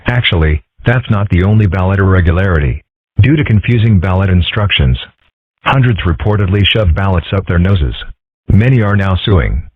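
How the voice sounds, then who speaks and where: A man voicing a calm, dry-witted young dragon son with a deadpan, measured delivery in a comic dub. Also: deep voice, husky, no room sound, narrow, tinny audio. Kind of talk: comic dub character acting.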